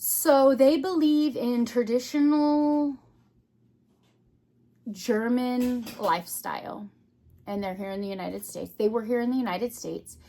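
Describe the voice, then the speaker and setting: A woman giving an unscripted, casual hobby vlog, thinking aloud in short, halting phrases. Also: fairly high pitch, dark tone. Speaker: craft vlogger.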